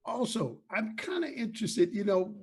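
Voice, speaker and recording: A man's voice: high-pitched, big pitch swings, moderate pace, breathy edge. A man hosting a casual interview, chatting informally and agreeably with short acknowledgements. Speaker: interview host.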